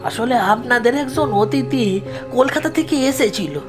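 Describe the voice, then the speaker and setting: A woman's voice: fairly high pitch, animated, a little slow, loud music behind the voice, pitched below the performer's own voice. A woman voicing a humble, anxious village toto driver in an audio horror story, with deferential, colloquial rural speech. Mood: earnest, explaining.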